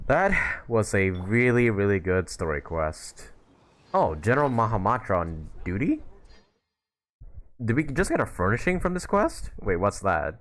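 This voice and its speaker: A man's voice: on the low side, big pitch swings, measured pace, a bit bright. A man streaming a video game, giving casual, chatty commentary and thinking aloud in a laid-back way. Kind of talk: casual live gameplay commentary. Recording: game music under the voice.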